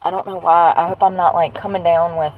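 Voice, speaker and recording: A woman's voice: lowish voice, little pitch movement, fairly quick, narrow, tinny audio. A woman with a stuffy nose, rambling bluntly and swearing casually as she hosts a live stream from inside her truck. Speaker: livestream host. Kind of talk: casual livestream chat.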